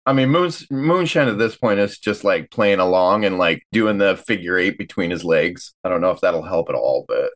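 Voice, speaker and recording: A man's voice: low-pitched, lively intonation, moderate pace, few pauses, a bit bright. A man playing a tabletop roleplaying game, chatting casually and describing what a character does.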